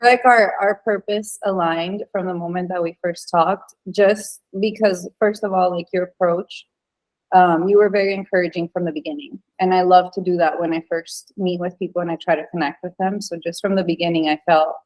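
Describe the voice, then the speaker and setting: Venezuelan-born woman, 30s, kinda low voice, rambles with lots of ums, podcast guest. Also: steady tone, brisk, darkish tone, rough recording. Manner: chatty, lots of fillers and restarts. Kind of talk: casual interview chat.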